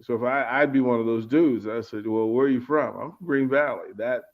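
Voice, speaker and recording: A man's voice: mid-range voice, fairly quick. A man from Virginia, a guest speaker talking in a casual interview and thinking aloud as he repeats his words. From Arlington, Virginia. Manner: reflective, repeats words while searching for phrasing. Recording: muffled lo-fi audio.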